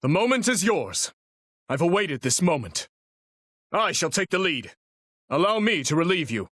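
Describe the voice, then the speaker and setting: An actor playing an earnest, courteous young warrior prince in a video game, speaking formally and resolutely. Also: male voice, sits high, sing-song, moderate pace, a bit bright, no echo, full character voice. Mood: courteous, ready. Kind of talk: video game battle voice lines.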